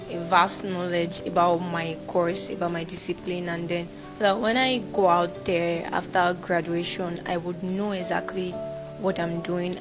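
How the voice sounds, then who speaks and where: A young Nigerian woman, a university student, talking casually in an interview and acting out shouted quotes. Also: on the low side, low-quality recording. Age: young adult. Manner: animated, acts out shouted quotes.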